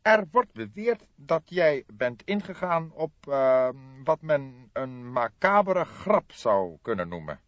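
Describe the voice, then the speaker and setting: A man voicing an affected, self-important police commissioner in an audiobook, solemn and formal, pausing for effect. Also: mid-range voice, very animated, fairly bright tone, dry recording, muffled recording, voice pushed up. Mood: solemn, disapproving. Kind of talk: audiobook character dialogue.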